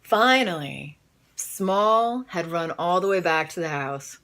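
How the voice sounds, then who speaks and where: A woman reading a children's storybook aloud in an expressive, dramatic way. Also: fairly low pitch, slow pace. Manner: animated, dramatic read-aloud delivery. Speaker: storybook reader. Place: in bed, indoors.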